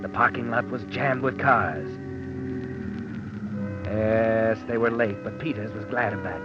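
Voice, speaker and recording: An actor playing an ominous, brooding suspense narrator in a 1940s radio drama, speaking in terse, insinuating lines. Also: male voice, fairly low pitch, a little slow, natural voice. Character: ominous male narrator taunting a guilty crime-thriller villain. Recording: dull old radio broadcast with music.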